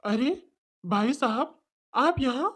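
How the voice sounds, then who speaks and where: Humble, sorrowful older father for cartoon drama, played by a woman, pitched below narrator but still highish. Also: animated, takes it slowly, fairly bright tone, full character voice. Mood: polite. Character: older fathers, humble, regretful, gently moralizing men.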